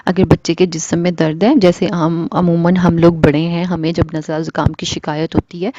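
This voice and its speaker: A woman's voice: lowish voice, mellow, no room sound. A woman presenting baby-care advice to parents, explaining patiently step by step and mixing in English phrases. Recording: dull lo-fi dry sound.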